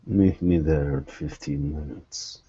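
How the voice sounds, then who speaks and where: Man playing a terse, suspicious low-voiced man. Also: steady tone, dark tone, slight background noise. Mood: guarded. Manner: terse, demanding, deliberate. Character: guarded, suspicious man on a phone call. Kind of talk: tabletop roleplay acting. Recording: dull lo-fi audio.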